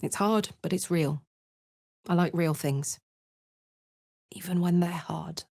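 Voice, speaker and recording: A woman voicing a brash, warm-hearted and rowdy female adventurer in a video game, with blunt, informal and expressive delivery. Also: on the low side, takes it slowly, creaky, dry recording, clean studio sound, heavily acted. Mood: tender, wistful.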